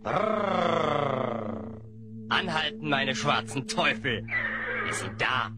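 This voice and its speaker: A man playing a gruff, grumbling slave trader who haggles, in a fantasy audio drama. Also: mid-range voice, animated, extremely slow, music in the background. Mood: commanding.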